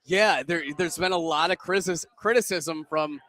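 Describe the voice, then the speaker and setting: A man's voice: a little high, normal speed, bright, forceful tone. A young man co-hosting a sports pre-game show from a pizza pub, rambling with self-deprecating banter. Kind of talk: casual sports talk.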